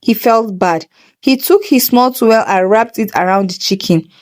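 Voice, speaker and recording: A woman's voice: fairly high pitch, normal speed, darkish tone. A woman with a Nigerian accent telling a folktale as a dramatic storyteller. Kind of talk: dramatic folktale narration with character voices.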